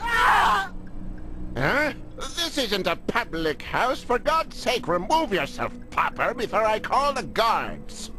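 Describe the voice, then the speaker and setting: Wildly animated, blustering old merchant. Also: male voice, high-pitched, slow, full character voice. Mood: indignant. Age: older. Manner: florid, blustering, stammering when cornered. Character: lecherous, cowardly old wealthy merchant, blustering and theatrical. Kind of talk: video game voice acting. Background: music.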